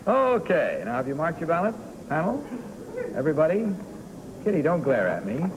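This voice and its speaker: Brief: 1950s TV game show host, male, lively, hissy old broadcast tape. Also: on the high side. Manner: lively, brisk, reads affidavits aloud. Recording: noisy 1950s broadcast recording.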